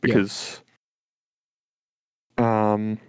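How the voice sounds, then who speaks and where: A young man with a very deep voice, chatting casually as a podcast co-host and talking in halting, hesitant phrases full of restarts. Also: completely flat delivery, a little mellow, clear, resonant tone, no room sound. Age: young adult. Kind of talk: casual chat.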